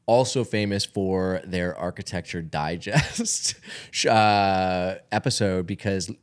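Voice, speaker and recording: A man's voice: low-pitched, takes it slowly, smooth. A man co-hosting a podcast, chatting casually and piecing stories together with some hesitation. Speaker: podcast co-host. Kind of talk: casual conversational podcast chat.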